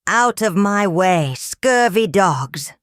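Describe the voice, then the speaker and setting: A woman's voice: mid-range voice, animated, slow pace, no room sound, studio quality. A woman voicing a mean, selfish male pirate captain who brags confidently in a children's story. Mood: gruff contempt. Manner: bragging, confident.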